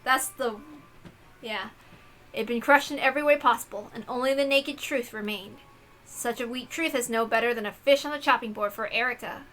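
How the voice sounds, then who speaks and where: A woman hosting an expressive read-aloud of a visual novel, with casual asides of her own. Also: on the high side, normal speed, poor audio. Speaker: reader and host.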